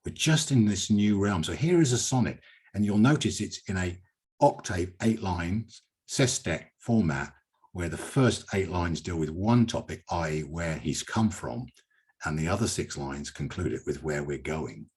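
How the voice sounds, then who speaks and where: A British man hosting a poetry reading, reciting and commenting in an emphatic, expressive, theatrical manner. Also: deep voice, moderate pace, breathy edge. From Britain.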